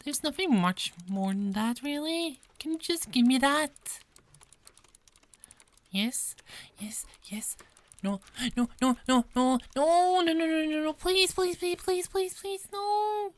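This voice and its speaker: A woman's voice: high voice, animated, slow pace, no room sound. A woman playing a frazzled but friendly police detective in an ASMR roleplay, with a hesitant, stammering delivery that often repeats words. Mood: pleading, frantic. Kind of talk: ASMR roleplay, one-sided dialogue.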